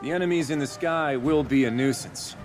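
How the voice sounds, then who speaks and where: An actor playing a composed bird-warrior leader in a video game, courteous and confident. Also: male voice, fairly high pitch, brisk, soft background music, lightly acted. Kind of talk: dramatic video game cutscene acting.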